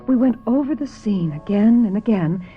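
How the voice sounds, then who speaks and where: An actress in a radio drama playing a gentle, ambitious young star, with measured and dramatic delivery. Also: female voice, mid-range voice, expressive, soft background music, close to an everyday voice. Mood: wistful, rueful. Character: gentle, ambitious actress looking back, guarded and willing. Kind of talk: radio drama acting with confessional narration. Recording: dull old radio recording.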